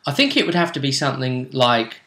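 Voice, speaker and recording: A man's voice: medium pitch, big pitch swings, fairly quick, sharp tone. A man chatting casually about a tabletop game, often rambling and restarting his phrases. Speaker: role-play show host. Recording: echoey room.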